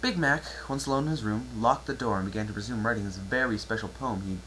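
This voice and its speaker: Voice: mid-range voice, fast, a bit bright, a little hiss or hum, low-quality recording. An amateur narrator giving a theatrical dramatic reading of fan fiction.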